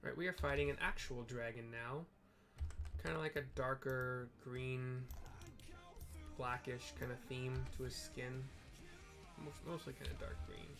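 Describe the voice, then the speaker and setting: A man gives offhand commentary as he plays, describing what is on screen in loose, halting bits. His voice sits at an ordinary middle pitch. Clear music plays under him the whole time. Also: steady tone, a bit bright. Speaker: game player narrating for blind viewers. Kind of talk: casual gameplay commentary with audio description. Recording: background music playing under the voice. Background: music from a playlist.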